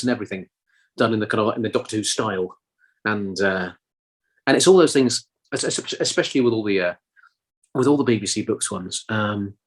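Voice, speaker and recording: Fast-talking husky man. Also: on the low side. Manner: rambling, many restarts and fillers. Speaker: actor and audiobook reader. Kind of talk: casual interview talk.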